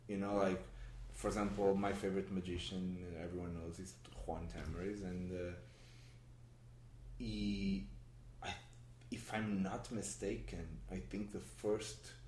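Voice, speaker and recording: A very deep-voiced man from Portugal, Portuguese his first language, talks haltingly in an interview, restarting his sentences and filling pauses. The room around him rings with a clear echo. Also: a little hiss or hum. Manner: halting, many restarts and fillers. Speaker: guest magician. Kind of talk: conversational interview. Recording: roomy echo.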